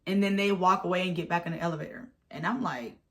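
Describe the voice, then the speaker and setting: A woman in her thirties with a raspy voice from a head cold, casually telling a storytime vlog with animated retellings. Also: fairly low pitch, fast talker. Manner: chatty, animated storytelling with acted-out quotes. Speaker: vlogger.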